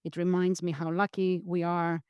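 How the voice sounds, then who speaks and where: A woman's voice: on the low side, little pitch movement, normal speed, clear, resonant tone, dry recording, muffled recording. A woman from Greece, a podcast guest, talking earnestly in an interview and often restarting her sentences. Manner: earnest, with frequent restarts and repeated words. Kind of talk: reflective interview talk.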